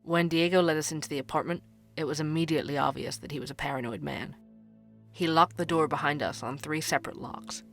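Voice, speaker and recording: A man's voice: on the high side, fast talker, vocal fry, a bit bright, faint music behind. An actor playing an earnest, curious young man who hosts and narrates an investigative podcast in an audio drama. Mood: narrating.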